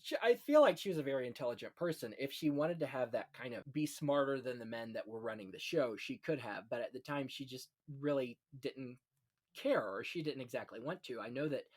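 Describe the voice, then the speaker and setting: A man's voice: medium pitch, lively intonation, brisk. A man hosting a casual film history chat, telling anecdotes in a gossipy, conversational way. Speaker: podcast host.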